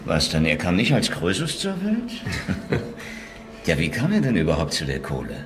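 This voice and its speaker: Nosy wry fbi agent, audio drama, lowish animated voice. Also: male voice, moderate pace, full character voice. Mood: wry, curious. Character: probing, wry male FBI investigator. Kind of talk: German audio drama dialogue.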